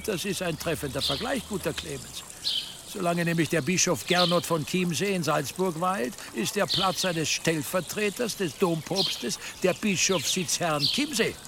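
An actor playing a haughty, chiding medieval archbishop in a radio drama, speaking formally and sternly. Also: male voice, high-pitched, moderate pace, vocal fry, heavy background noise. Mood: wry surprise. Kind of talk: German radio drama acting.

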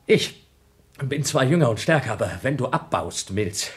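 A man's voice: medium pitch, expressive, moderate pace, natural voice. An actor playing a younger astronaut in a German radio drama, arguing with cold, pedantic logic and accusing his rival. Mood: grimly reasoning. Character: younger male astronaut, cunning, argumentative, coldly accusing rival.

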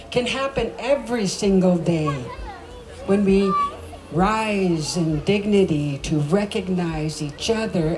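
A woman from North America giving a ceremonial opening speech, solemn and deliberate, in short phrases with pauses. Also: medium pitch, background noise. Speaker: ceremony speaker opening a women's circle.

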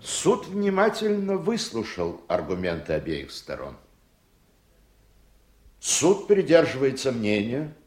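An actor playing a stern judge in a radio play, speaking formally and with measured weight. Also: male voice, mid-range voice, a little mellow, echoey room. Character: stern, deliberate male judge presiding in court. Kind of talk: Soviet radio play acting.

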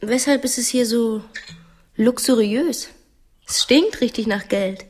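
An actress playing an unhappy, drinking, imperious wife in a radio drama, moving between hesitant murmurs and sharp commands. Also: female voice, fairly high pitch, slow pace, a little hiss or hum. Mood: brittle, prickly. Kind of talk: radio play acting.

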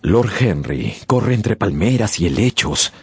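A man narrating a radio novel in a dramatic, suspenseful style with measured phrasing. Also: lowish voice, sing-song, a little mellow, husky, no room sound. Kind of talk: dramatic scripted audiobook narration.

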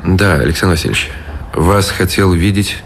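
A man's voice: low voice, moderate pace, noisy background. A man playing a dutiful, deferential military aide in a radio drama, formal and respectful in manner. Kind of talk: Russian radio drama acting.

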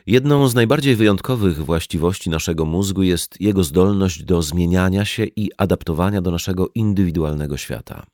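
Low-voiced man narrating an audiobook. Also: fairly quick, hardly any pauses, a little mellow, no room sound, clean studio sound. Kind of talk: scripted nonfiction audiobook narration.

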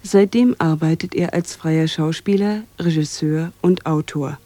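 A woman's voice: deep voice, measured pace, mellow, no room sound. A woman reading a formal scripted introduction as a radio announcer. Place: dry radio studio.

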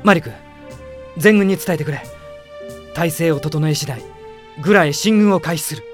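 An actor playing an earnest, gentle young prince and army commander in a radio drama, speaking with sincere emotion. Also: male voice, a little high, moderate pace, faint music behind, natural voice. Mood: resolute. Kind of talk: Japanese radio drama acting.

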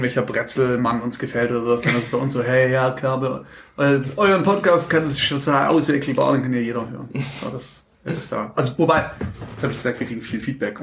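A man's voice: medium pitch, fairly bright tone. A man from Stuttgart with a Swabian accent, a podcast guest chatting casually, rambling and often correcting himself. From Stuttgart, Germany. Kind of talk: casual chat. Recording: echoey room with faint noise.

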